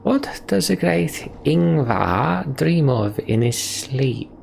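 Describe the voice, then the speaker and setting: A man voicing brash, teasing fantasy mercenaries in a video game, with loud, jeering banter. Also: on the high side, expressive, slow pace, gravelly, a little hiss or hum, voice pushed up, heavily acted. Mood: goading.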